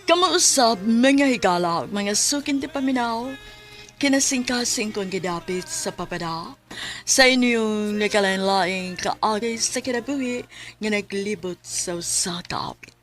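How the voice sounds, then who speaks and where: Casting: female Cebuano radio announcer, mid-pitched, unhurried, formal scripted delivery with music beneath. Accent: Cebuano Filipino.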